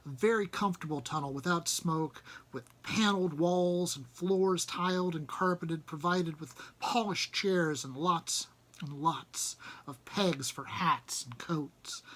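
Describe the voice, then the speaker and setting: Expressive man reading a storybook aloud. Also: a little high. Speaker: reader. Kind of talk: storybook narration read aloud.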